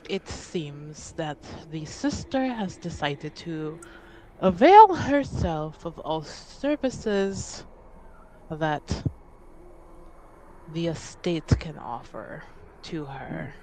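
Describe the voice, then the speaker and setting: A woman plays a formal noblewoman of a fantasy roleplaying game, weary and guarded. She speaks slowly and with measured care in a fairly low voice. Faint noise sits in the background. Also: very animated, warm tone, full character voice, low-quality recording. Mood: weary, exasperated. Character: weary, formal noblewoman acting for her house.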